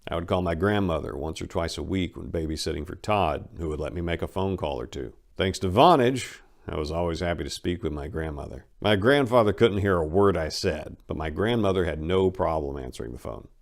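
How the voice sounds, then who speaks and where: Low-pitched man telling a story. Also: expressive, brisk, creaky. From southern United States (Memphis). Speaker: narrator reading a personal memoir aloud. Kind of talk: dramatic reading of first-person narration.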